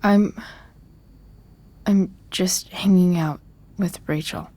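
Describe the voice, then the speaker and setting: A sullen teenage daughter in a dramatic video game. Her voice sits a little low. She speaks slowly and guardedly, with an evasive, sardonic edge. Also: steady tone, dark tone. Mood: evasive, impatient. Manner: hesitant, sardonic. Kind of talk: dramatic game cutscene acting.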